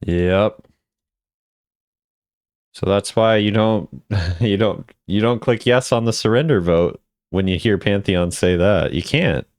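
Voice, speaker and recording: A man's voice: lowish voice, slow pace, long pauses, dry recording, studio quality. A man giving casual chatty commentary as a video creator, often repeating phrases for emphasis. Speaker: video creator ranking game voice lines.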